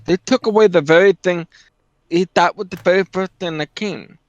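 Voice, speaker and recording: Casting: casual male commentator, somewhat high-pitched. Insistent, stumbles into points, dry close sound. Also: normal speed, dry recording. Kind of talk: casual discussion. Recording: dull, dry low-fidelity mic.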